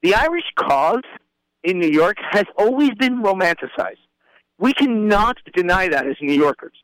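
A man who grew up in Puerto Rico and the Bronx speaks as a journalist guest on a talk panel. His voice sits a bit high, and he makes his case emphatically, peppering it with "right?" in a dry, close studio sound. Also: big pitch swings, normal speed, a bit bright, no echo, low-quality recording. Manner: emphatic, argumentative, uses "like" and "right?". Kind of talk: panel discussion.

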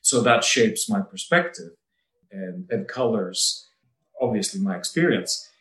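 A middle-aged man from Bulgaria, a lawyer, giving a measured and articulate personal account. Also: on the low side, expressive, a bit bright. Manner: measured and articulate, occasional light laughs. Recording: roomy echo, mic at a distance.

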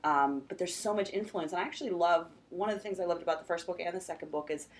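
A woman with a hoarse, scratchy voice hosting a book interview at a convention, chatty and full of restarts. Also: on the low side, fast. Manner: chatty, uses many fillers like "like" and restarts. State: hoarse, slightly lost voice. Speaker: podcast host and book editor. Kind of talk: casual interview chat. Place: convention event, indoors.

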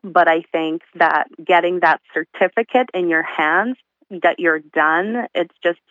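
A woman in her twenties from Uzbekistan, a guest speaking thoughtfully from home, pausing with fillers. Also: fairly low pitch, a bit bright, dry recording, highs cut off. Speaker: guest, accounting professional. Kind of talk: casual remote interview. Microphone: remote call from home.

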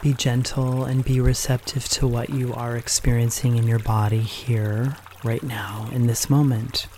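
A man leading a guided meditation in a gentle, unhurried manner, leaving pauses between short phrases. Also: medium pitch, level tone, a little hiss or hum.

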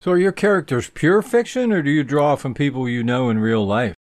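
A man interviewing an author, asking his questions with a lively, questioning lilt. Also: mid-range voice, fairly quick, dry recording, studio quality.

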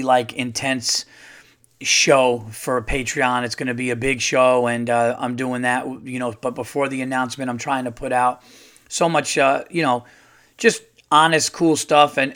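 A middle-aged man, a comedian hosting his own podcast, chatting casually and rambling with lots of fillers, his voice a little hoarse from touring. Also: medium pitch, a bit bright. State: slightly hoarse, losing his voice. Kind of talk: casual solo podcast monologue.

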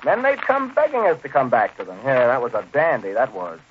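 A man's voice: medium pitch, wildly swooping pitch, a little fast, slight background noise. A man playing a sarcastic, reproachful young nephew who needles his uncle, in old radio sitcom style. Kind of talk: 1940s radio sitcom acting. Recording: muffled old-time radio recording.

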